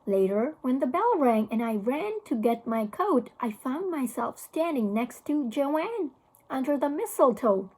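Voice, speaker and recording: A woman with a husky voice reading a children's novel aloud as a teacher would for a class. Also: high voice, big pitch swings, measured pace, darkish tone.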